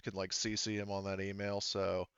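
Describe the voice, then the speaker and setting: A man's voice: sits low, flat delivery, moderate pace, fairly bright tone, smooth, no echo, lo-fi sound. A man casually explaining things as game master in a tabletop roleplaying game.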